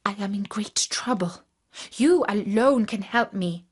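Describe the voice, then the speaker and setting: A woman's voice: mid-range voice, unhurried, husky. A woman voicing an anxious old male monkey in a children's fairy tale, pleading and lamenting theatrically. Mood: pleading, flattering.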